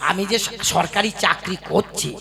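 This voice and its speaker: A man's voice: high voice, normal speed, vocal fry, crowd noise. A man voicing a poor, cheeky subject who whines and begs the king for a government job in a comic puppet show. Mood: wheedling. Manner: whining, pleading, sing-song begging. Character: poor pleading male subject, jobless, cheeky.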